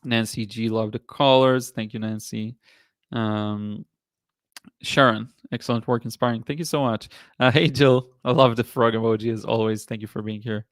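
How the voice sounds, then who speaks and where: Man, Israeli accent, 30s, relaxed livestream host, halting with fillers, dry sound. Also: mid-range voice, no echo. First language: Hebrew. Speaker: watercolor artist and livestream host. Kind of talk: casual livestream chat reading comments.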